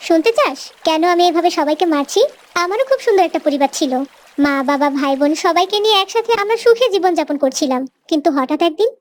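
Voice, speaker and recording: A woman voicing a huge invisible snake in a cartoon, speaking in a low, threatening, taunting way. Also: lively intonation, fast talker. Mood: bitter. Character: menacing giant invisible snake, grudge-bearing. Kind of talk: cartoon voice acting.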